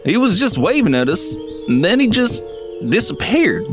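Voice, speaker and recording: Concerned, helpful farm neighbor played by an actor, animated intonation, audio drama. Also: medium pitch, normal speed, over music, close to an everyday voice.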